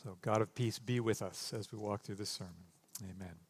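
A man's voice: on the low side, moderate pace, husky. A man preaching a sermon, reading scripture and then talking loosely, with joking asides and stumbles, into a stage microphone in a church. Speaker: pastor. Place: church sanctuary.

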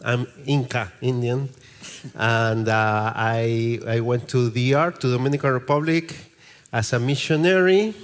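A middle-aged man from Peru with a Peruvian Spanish accent, speaking as a guest pastor in a church interview, pausing often to search for words. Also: medium pitch, clear, resonant tone.

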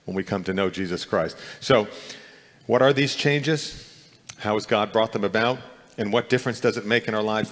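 A man's voice: fairly low pitch, a bit bright. A middle-aged man preaching a sermon as pastor, earnest and conversational, with frequent restarts. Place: church. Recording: long reverb of a church hall.

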